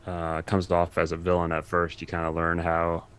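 Male podcast guest with a deep, low voice, talking informally. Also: monotone, normal speed, a bit bright, clean tone. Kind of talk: casual chat.

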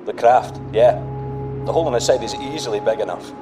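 A curious male astronaut, played by an actor in a sci-fi audio drama. His medium-pitched voice is informal and wry, like someone chatting to a crewmate. Music runs clearly behind him. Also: brisk, close to an everyday voice. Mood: curious, awed.

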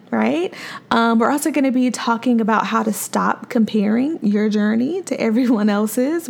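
A woman hosting her own podcast as a mom therapist and coach, talking in a soft, calm, friendly way. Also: on the high side, creaky. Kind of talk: solo podcast monologue.

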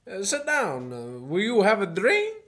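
Guy acting a polite but sinister professor villain in a radio drama, slow delivery, pitch all over the place. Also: huge pitch swings, a bit bright, heavily acted. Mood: courteous. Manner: courteous, genteel, theatrically menacing. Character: suave, menacing gentleman professor, a secret spy chief. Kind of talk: student radio play acting.

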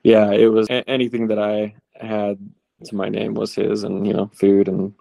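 A man in his late thirties, a returned missionary, telling stories in a relaxed, rambling interview style. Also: fairly low pitch, normal speed, gravelly, no room sound. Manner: relaxed, rambling with fillers and restarts. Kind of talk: conversational interview storytelling.

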